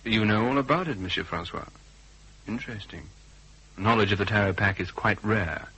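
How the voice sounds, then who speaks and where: An actor playing a shrewd private detective in a radio drama, measured, probing and authoritative. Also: male voice, lowish voice, sharp tone, husky, exaggerated voice, rough recording. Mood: knowing, pointed.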